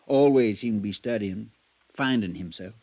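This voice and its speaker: A man's voice: on the low side, normal speed. A man playing a loyal, worried stable hand in a 1950s radio drama, speaking in a folksy, hesitant, respectful way. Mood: reflective. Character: deferential, plain-spoken male stable hand, loyal and worried. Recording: dull, muffled vintage radio recording.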